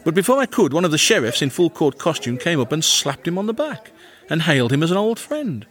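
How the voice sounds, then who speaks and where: A man playing a fussy, self-important Victorian English gentleman, narrating his comic diary with precise, earnest pomposity. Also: on the high side, big pitch swings, brisk.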